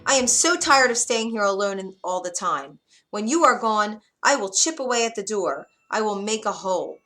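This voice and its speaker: A woman's voice: high-pitched, big pitch swings, moderate pace, fairly bright tone, soft background music, pitched above the performer's own voice. A woman voicing a restless young girl who complains and defies her captor in a storybook. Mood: defiant. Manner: complaining, defiant.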